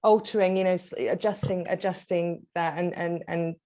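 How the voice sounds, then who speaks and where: A woman with a fairly low voice hosts an online discussion over a video call. She invites participants in with an encouraging tone. She trips over repeated words and fillers as she goes. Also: fairly even intonation, moderate pace, mellow. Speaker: webinar host.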